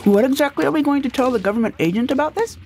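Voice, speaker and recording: A woman's voice: high-pitched, lively intonation, rapid-fire, a little mellow, a little hiss or hum. An actress playing a defiant, fretful woman rebel in love in a sci-fi audio drama. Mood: earnest.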